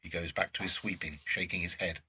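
A man's voice: low-pitched, little pitch movement, brisk, sharp tone, far from the mic, slight background noise. A man narrating an audio drama from a script.

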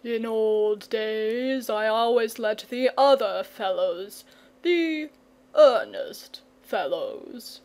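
Storybook dragon, lazy and timid, slow drawl, lower and hazier than the narrator, as a woman puts him on. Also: darkish tone. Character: lazy, languid old male dragon, gentle and timid.

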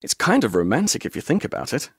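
A man's voice: mid-range voice, huge pitch swings, fast, slightly rough, no echo, studio quality, exaggerated voice. An actor playing a cheeky young male adventure tour guide in a video game, talking in a breezy, wisecracking, showman's way. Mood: cheeky, showy. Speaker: game character.

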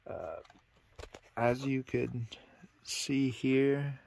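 Slow-talking nervous young man vlogging. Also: medium pitch, expressive, gravelly, a little mellow, no echo. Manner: nervous, self-deprecating, talks slowly. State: cold and sleepy. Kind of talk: casual vlog to camera. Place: abandoned cabin at night, cold.

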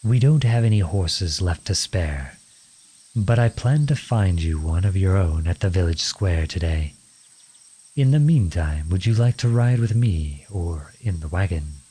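A man acting a charming, teasing young outlawed prince in an ASMR-style romance roleplay, with a husky voice and a courtly, playful manner. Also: low voice, animated, unhurried, warm tone, full character voice. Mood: courteous, warm. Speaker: audio roleplay performer.

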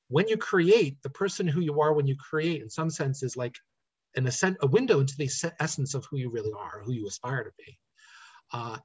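A middle-aged American man with a slightly husky voice, answering interview questions in a thoughtful, halting, conversational way. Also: medium pitch, rough recording. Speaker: playwright being interviewed. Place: living room at home, indoors. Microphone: computer mic on a video call.

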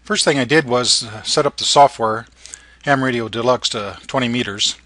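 A middle-aged or older man narrating an informal tutorial in halting, short phrases. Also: mid-range voice, bright, forceful tone, no room sound. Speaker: amateur radio operator and narrator.

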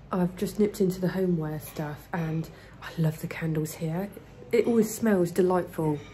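A woman in her thirties with a cold, sounding croaky and bunged up, chatting casually to her phone camera in a parked car and then in a farm shop. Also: on the low side, mellow, a little hiss or hum. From England. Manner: chatty, bubbly, rambling asides. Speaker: vlogger. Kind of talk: casual vlog chat. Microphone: phone held at arm's length.

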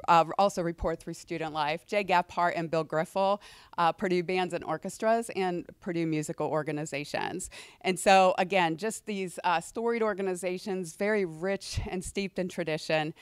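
A middle-aged woman talks through a presentation in a lecture hall, her voice hoarse from a cold yet smooth underneath. She sits on the low side, dry and close on a handheld mic, chatty with ums and restarts. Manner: conversational, with fillers and restarts. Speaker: university administrator presenting. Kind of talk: presentation to student senate. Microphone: handheld microphone.

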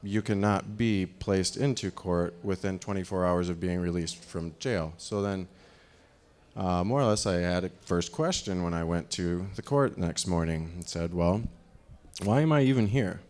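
Deep-voiced man rambling on stage. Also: moderate pace, a little mellow. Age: 30s. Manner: rambling, with many fillers. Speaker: speaker. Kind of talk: live talk on stage. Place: dark stage in a hall. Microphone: handheld microphone.

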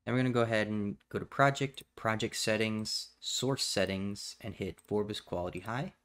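A man hosting a step-by-step software tutorial in a casual, plainspoken way, recorded indoors at a computer. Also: lowish voice, moderate pace. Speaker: tutorial host. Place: indoors at a computer, voice over screen capture.

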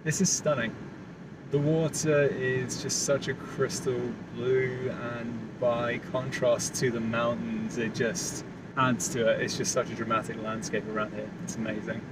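A man's voice: medium pitch, flat delivery, unhurried, distant mic, heavy background noise. Upbeat man vlogging from a car. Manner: enthusiastic, upbeat commentary. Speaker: travel vlogger. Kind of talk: casual travel vlog chat. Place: inside a car, then outdoors.

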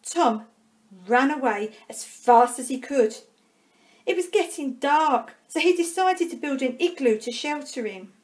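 A woman with a high voice reads a storybook aloud for young children. Her delivery is slow and expressive. She stretches key words and leaves dramatic pauses between phrases. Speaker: primary school staff member reading a storybook.

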